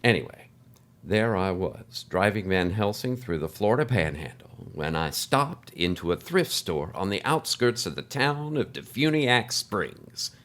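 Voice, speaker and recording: An actor playing a flamboyant, folksy travelling radio host, telling a scripted first-person story in a wordy, chatty, theatrical manner. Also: male voice, lowish voice, very animated, unhurried, a bit bright. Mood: grandly chatty. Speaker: show host narrator.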